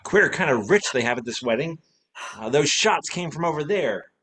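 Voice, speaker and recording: A man's voice: on the high side, lively intonation, moderate pace, background noise, lightly acted. A man playing a cheerful, wisecracking former private detective in a radio play, dry and flippant. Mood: alert, unruffled.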